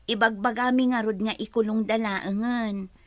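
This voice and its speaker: A woman's voice: on the high side, steady tone, normal speed, dry recording, close to an everyday voice. A woman playing a blunt, gossipy village mother in a radio drama, chatty and outspoken. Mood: gossipy, wary.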